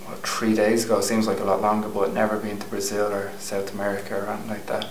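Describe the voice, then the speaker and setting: A man's voice: fairly low pitch, monotone, fast talker, roomy sound, slight background noise, low-quality recording. A young Irish man with an Irish accent, answering casually in a laid-back way. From Ireland. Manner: laid-back, uses "like" a lot. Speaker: interviewee.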